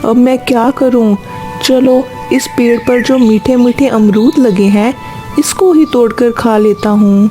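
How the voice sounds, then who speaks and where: Cartoon crow dad, caring and plain-spoken, mellow high-ish voice female performer, light music underneath. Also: steady tone, unhurried, lightly acted. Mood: hungry, pleased. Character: adult male crow, a caring family father. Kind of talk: Hindi cartoon story dialogue.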